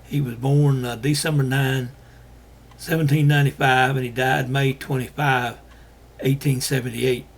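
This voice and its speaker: An older man from Alabama talks to his viewers in a hoarse, gravelly voice that keeps breaking into fry. His delivery is halting, full of pauses and restarts, and his voice sounds husky and strained. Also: mid-range voice, flat delivery. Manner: halting, many fillers and restarts. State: losing his voice. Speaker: host. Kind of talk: casual monologue to viewers.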